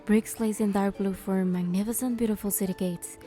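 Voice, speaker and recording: A woman's voice: medium pitch, steady tone, a little fast, mellow, faint music behind, lightly acted. A woman voicing a proud goddess in a game, delivering dramatic, solemn scripted narration. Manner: solemn, storytelling. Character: wounded goddess narrating her suffering with pride.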